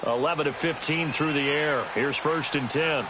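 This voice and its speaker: A man playing a brisk American play-by-play announcer calling football in a video game. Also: on the high side, steady tone, lightly acted. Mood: focused, brisk. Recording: heavy noise over streamed game audio.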